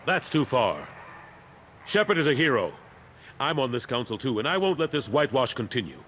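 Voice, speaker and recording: A man's voice: mid-range voice, sing-song, normal speed, fairly bright tone, a little hiss or hum. An actor playing a firm, plain-spoken older military man turned politician in a video game. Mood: indignant. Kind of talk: video game dialogue acting.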